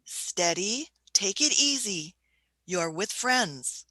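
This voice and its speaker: Woman playing a bright, slow-paced, fatherly old doctor. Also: medium pitch, lively intonation, dry recording, heavily acted, low-quality recording. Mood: soothing, encouraging. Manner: urging, short repeated phrases. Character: elderly male doctor, fatherly, brisk and reassuring. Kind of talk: radio play acting.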